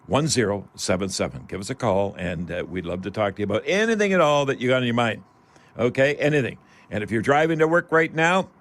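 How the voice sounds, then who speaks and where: A Canadian man hosting a call-in radio show, chatting casually in a folksy, rambling, halting way. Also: mid-range voice, fairly bright tone. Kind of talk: casual live radio chat.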